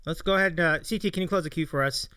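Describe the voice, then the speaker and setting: A man's voice: fairly high pitch, rapid-fire, sharp tone, clean tone, no echo, clean studio sound. A man hosting a livestream interview show, chatting casually in an appreciative, encouraging way. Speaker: host of a streaming interview show.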